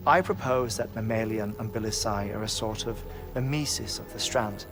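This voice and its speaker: An actor playing an earnest scientist in a game cutscene, speaking in a measured, scholarly way. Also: male voice, on the low side, natural voice. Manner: measured, scholarly, precise, lecturing. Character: brainy, earnest male scientist lecturing on theory. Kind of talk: video game cutscene acting. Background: game score.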